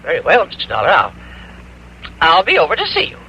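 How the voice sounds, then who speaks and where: A woman's voice: on the low side, huge pitch swings, quick pace, sharp tone, heavily acted. An actress playing a haughty, flustered woman suspect in a radio crime drama, stammering indignantly over the telephone. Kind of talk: old-time radio crime drama acting. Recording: muffled, hissy old radio broadcast.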